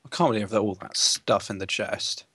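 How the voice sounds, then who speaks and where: A young man co-hosting a gaming video, chatting casually in a playful, excitable way. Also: on the low side, moderate pace, breathy edge, no echo, studio quality. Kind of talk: casual gameplay chat.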